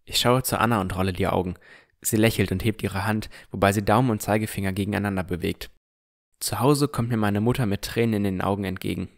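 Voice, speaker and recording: Low-voiced man narrating fantasy. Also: fairly even intonation, quick pace, vocal fry. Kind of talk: scripted first-person fantasy narration.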